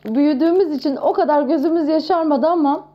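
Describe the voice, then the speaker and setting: A woman in her thirties talking casually to camera in a chatty, expressive way, showing off nostalgic things. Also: high-pitched, fast talker, muffled recording. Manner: chatty and expressive, with frequent exclamations. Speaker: vlogger.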